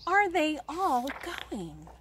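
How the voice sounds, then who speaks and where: A woman reading a children's picture book aloud like a kindergarten teacher, expressively, with dramatic pauses and questions for young listeners. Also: sits high, big pitch swings, a bit bright, a little hiss or hum.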